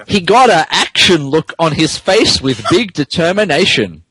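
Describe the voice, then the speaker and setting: A man narrates a comic fan fiction reading at an unhurried pace, mock serious. His voice is a bit high and husky, with swinging intonation, recorded dry. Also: expressive, fairly bright tone, no echo, low-quality recording. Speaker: podcast co-host narrating a story.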